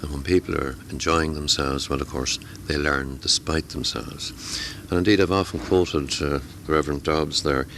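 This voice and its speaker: A man's voice: rumbling low, fairly even intonation, normal speed, few pauses, a bit bright, slight background noise. A middle-aged man who tutors amateur radio classes, talking at a convention in a hesitant way, with many fillers and repeated words. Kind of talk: conversational interview.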